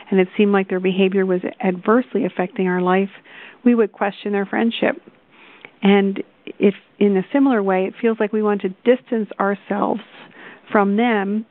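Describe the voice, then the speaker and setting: A woman giving a measured explanatory talk as a financial advisor on a conference call, posing rhetorical questions over a telephone line. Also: mid-range voice. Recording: tinny, narrow phone line.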